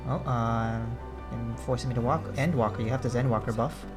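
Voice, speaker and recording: A man's voice: medium pitch, a little fast, music in the background. A man streaming a game, giving casual, off-the-cuff reaction commentary.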